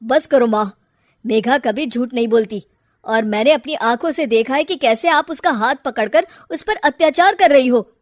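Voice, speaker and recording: A woman's voice: high-pitched, normal speed, no echo, clean studio sound, close to an everyday voice. A woman voicing a self-righteous young adult son in a cartoon moral story, stern and accusing. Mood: stern, angry.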